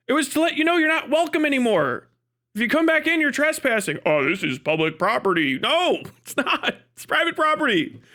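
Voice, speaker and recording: A man with a high voice that swings widely in pitch, delivering off-the-cuff livestream commentary. He sounds exasperated and incredulous, talking bluntly and acting out the arguments he objects to. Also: fairly bright tone. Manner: exasperated, incredulous, often laughing mid-sentence; sweary, blunt. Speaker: live streamer. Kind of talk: unscripted commentary rant.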